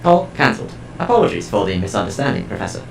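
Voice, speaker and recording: A man playing a calm, formal artificial intelligence in an audio drama, speaking in precise, measured sentences. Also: deep voice, gravelly, reverberant, soft background music, full character voice. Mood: detached, formal.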